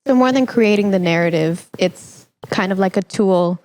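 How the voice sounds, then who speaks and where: A woman's voice: mid-range voice, normal speed, a little mellow, poor audio. A woman hosting a podcast, talking in a conversational, reflective way as she interviews a guest and shares her views.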